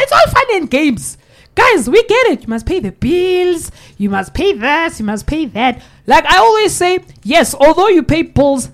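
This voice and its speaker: A South African woman hosting a radio show in a studio, reading listener comments with animated, laughing banter. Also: high-pitched. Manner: animated, laughing, drawn-out emphatic repeats.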